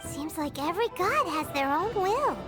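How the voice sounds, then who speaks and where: Game sidekick: tiny cheeky girl sprite, extremely high and squeaky, swooping intonation, loud music behind. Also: sing-song, moderate pace. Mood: blunt, cheeky. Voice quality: squeaky, childlike. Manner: cutesy, refers to self in third person. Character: tiny childlike female companion sprite, cheeky and blunt. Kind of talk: scripted game cutscene dialogue. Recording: prominent music behind the dialogue.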